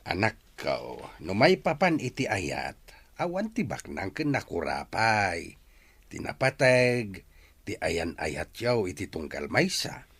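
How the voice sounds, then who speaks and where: Man acting kind wise old dad, audio drama, low raspy voice, really slow talker. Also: sing-song, a bit bright, heavily acted. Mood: gentle, advising. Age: older. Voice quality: husky. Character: gentle, wise old father advising his son.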